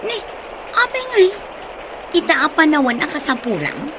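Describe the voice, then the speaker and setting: An actress playing an outspoken, exasperated young best friend in a radio drama, with an Ilocano accent. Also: female voice, sits high, huge pitch swings, normal speed, background noise.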